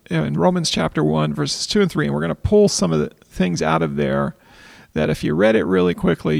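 Raspy, gravelly-voiced man co-hosting. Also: on the high side, darkish tone. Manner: thoughtful, searching for words with frequent restarts. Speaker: podcast co-host. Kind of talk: casual faith discussion.

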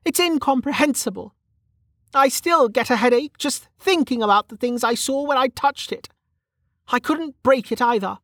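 A woman's voice: sits high, normal speed, fairly bright tone, no room sound, clean studio sound, pitched above the performer's own voice. A woman voicing a bitter, weary, hot-tempered and foul-mouthed woman in an audiobook, snappish and scornful. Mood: bitter, exasperated.

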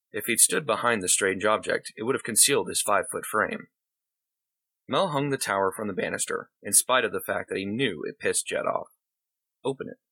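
Husky, bright-voiced man reading fiction. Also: deep voice. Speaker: story reader. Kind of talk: scripted short story narration.

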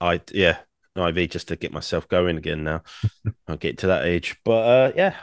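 A young man, co-hosting a casual podcast, rambling with many fillers and restarts, his throat croaky from singing. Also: low voice, lively intonation, moderate pace, no echo, studio quality. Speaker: podcast co-host.

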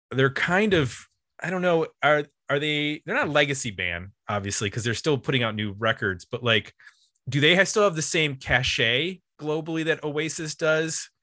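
A middle-aged American man co-hosting a music podcast, chatting casually in a self-deprecating, meandering, halting way. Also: medium pitch, sharp tone, dry recording, studio quality. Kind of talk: casual album discussion.

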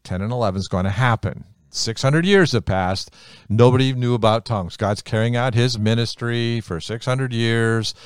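A man who grew up in America, teaching the Bible in a relaxed conversation, animated and emphatic, often repeating phrases for effect. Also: lowish voice, clear, resonant tone, no echo, clean studio sound.

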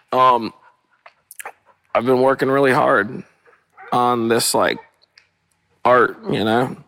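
Solo podcast host, young man, hoarse and gravelly, rambling and cursing outdoors on a portable mic with dogs around. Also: mid-range voice, a bit bright, rough recording. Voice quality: rough, gravelly voice. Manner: rambling, profane, spiritual asides. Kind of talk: casual solo monologue. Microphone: portable, not studio quality. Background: dogs playing.

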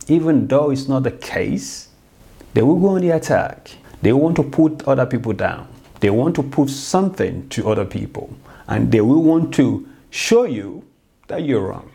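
A man's voice: mid-range voice, creaky, mellow. A man hosting his own podcast, giving an earnest, explanatory solo talk straight to his listeners. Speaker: podcast host.

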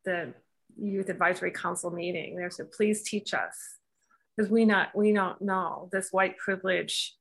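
A woman's voice: fairly low pitch, steady tone, unhurried, vocal fry, a bit bright, breathy edge. A woman hosting a casual conversation, asking long, meandering questions with many hesitations. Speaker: host.

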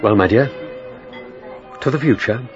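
An actor playing a courteous, devoted English country gentleman in a radio drama, tender and gentle in manner. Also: mid-range voice, unhurried, close to an everyday voice. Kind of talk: period radio drama acting. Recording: dull recording with music behind. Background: music.

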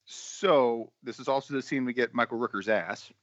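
A man's voice: mid-range voice, moderate pace, a bit bright, no echo, highs cut off. A man co-hosting a podcast, giving blunt, opinionated film commentary in a casual style. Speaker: podcast co-host.

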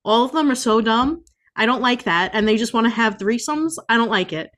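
High-voiced, opinionated woman in casual banter. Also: animated, a little fast, fairly bright tone. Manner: emphatic, repeats points for effect. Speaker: podcast co-host.

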